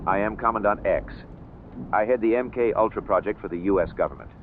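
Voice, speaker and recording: A man's voice: lowish voice, animated, brisk. A man playing a cold, threatening government commandant villain in a radio drama, tinny and noisy. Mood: menacing. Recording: tinny lo-fi with noise.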